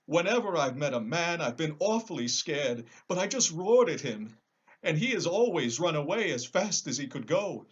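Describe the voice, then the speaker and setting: A man voicing a high-pitched cowardly storybook lion. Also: sing-song, normal speed, slightly rough, muffled recording. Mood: sad, confessing. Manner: plaintive, exclaiming, theatrical. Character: big cowardly lion, sad, timid, self-pitying. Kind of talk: storybook character voice.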